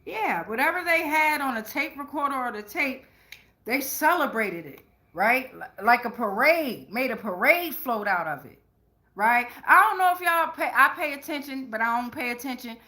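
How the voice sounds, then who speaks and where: Female tarot reader, forceful bright tone, higher pitch; casual, rambling, profane, slang-heavy delivery. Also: lively intonation, normal speed. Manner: rambling, with frequent "right?" check-ins. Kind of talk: casual tarot reading monologue.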